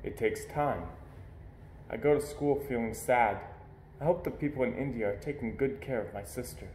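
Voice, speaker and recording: A man reading a children's story aloud in an expressive narrating style. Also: mid-range voice, fairly quick, slight background noise. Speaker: storybook reader. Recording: echoey room.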